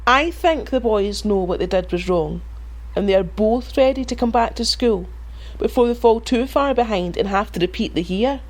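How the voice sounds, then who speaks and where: A woman's voice: mid-range voice, normal speed, lightly acted, low-quality recording. An actress playing a small-town mother, sweet on the surface but pointed and assertive. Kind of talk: audio drama acting.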